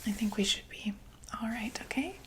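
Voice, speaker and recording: A woman playing a gentle vampire doctor in a whispered ASMR medical roleplay, reassuring and a little hesitant. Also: a little high, expressive, moderate pace, noisy background, lightly acted. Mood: calm, reassuring. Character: gentle female vampire doctor examining a patient.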